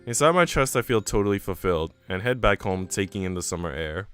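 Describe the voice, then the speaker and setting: Man reading as earnest young woman narrator, low-voiced. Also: animated, brisk, a bit bright, smooth. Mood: tender. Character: earnest, self-doubting young woman radio host in love. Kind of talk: visual novel narration read aloud. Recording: music behind the voice.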